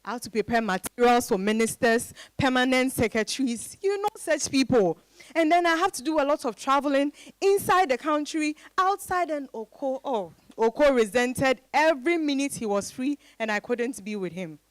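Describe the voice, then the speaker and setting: Actress as an animated, high-voiced independent Ghanaian career woman. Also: moderate pace, no echo. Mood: frustrated. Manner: animated, emphatic, exclaiming. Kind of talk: dramatized reading of a novel.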